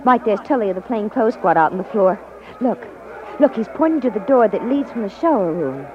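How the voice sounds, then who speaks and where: An American actress playing an excitable, caring young woman with a breathless, emphatic manner in a 1950s radio drama. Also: mid-range voice, fairly quick, some chatter behind, close to an everyday voice. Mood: anxious. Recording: muffled, noisy 1950s radio recording.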